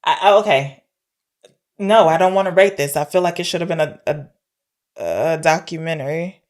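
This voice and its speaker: A woman's voice: low voice, moderate pace. A woman co-hosting a casual film discussion in an informal, hesitant, conversational way. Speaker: podcast co-host reviewing films.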